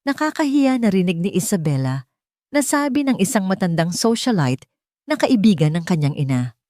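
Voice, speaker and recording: A woman's voice: mid-range voice, big pitch swings, moderate pace, darkish tone, no room sound, studio quality. A woman reading a scripted dramatic audiobook as the story's narrator.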